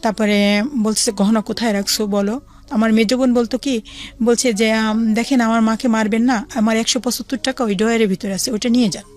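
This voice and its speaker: Higher-pitched middle-aged Bangladeshi woman. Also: steady tone, a little fast, a little mellow. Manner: acts out remembered dialogue as she narrates. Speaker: guest telling her life story. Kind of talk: conversational personal storytelling.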